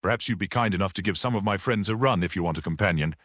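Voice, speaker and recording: A man's voice: sits low, rapid-fire, a bit bright, no room sound, clean studio sound. A man voicing a grim, coolly defiant storybook character with a curt, sinister politeness. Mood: polite refusal. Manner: curt, impatient, urging.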